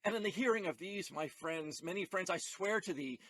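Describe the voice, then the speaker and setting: A man's voice: sits high, lively intonation, fairly quick, sharp tone, full character voice. A man playing an ardent young husband in a Shakespearean audio play, pleading earnestly and emphatically in verse. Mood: contrite, earnest.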